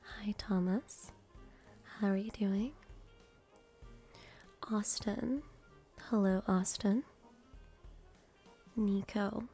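A woman with a mellow, creaky voice performs ASMR over faint music. She speaks very slowly in short, gentle phrases, often dropping to a breathy whisper, with space left between each one. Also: mid-range voice, fairly even intonation. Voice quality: breathy whisper mixed with soft speech. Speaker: host greeting viewers by name. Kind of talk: ASMR name reading.

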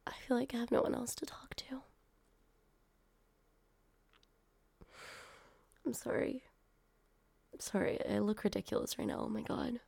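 A guarded young woman opens up to her brand new driver in a one-sided audio roleplay, played by a young woman. Her voice is husky and slightly gravelly, with a dark, mellow tone, and she keeps it hushed, close to a whisper. The sound is dry, with no room around it. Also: mid-range voice, dry recording. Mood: tearful. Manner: soft, hushed, half-whispered delivery.

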